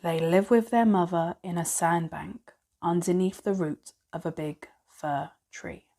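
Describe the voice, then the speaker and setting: A woman narrating children's bedtime stories in a measured, expressive, dramatic read-aloud style. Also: fairly low pitch. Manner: slow, measured, dramatic storytelling for young children. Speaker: storybook narrator.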